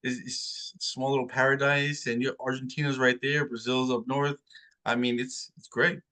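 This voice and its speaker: A man from New Jersey, a guest in a casual interview, talking in a halting way and repeating words as he thinks. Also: mid-range voice, little pitch movement, sharp tone. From New Jersey, United States.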